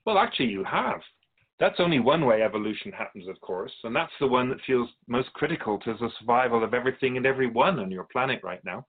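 Through thin, phone-like audio comes a calm otherworldly being, played by a man, with a mid-pitched voice. He explains humanity to humans in a measured, knowing, teacherly manner, as a guest in a radio drama interview. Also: husky.

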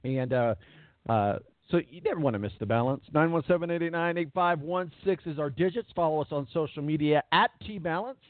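A man hosting a sports radio show in casual chat, rambling with fillers and repeated words, with a voice that is going hoarse. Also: on the high side, fairly quick, no room sound. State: losing his voice. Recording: tinny phone-line quality, dry.

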